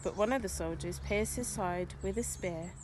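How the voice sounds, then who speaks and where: A woman reading scripture aloud in a measured narrative style. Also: fairly low pitch, noisy background. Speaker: scripture reader.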